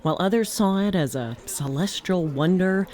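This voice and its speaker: A woman's voice: lowish voice, normal speed, a little mellow, lightly acted. A woman playing an uneasy, thoughtful mission control officer in an audio drama, with a hesitant manner. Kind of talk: naturalistic audio drama acting.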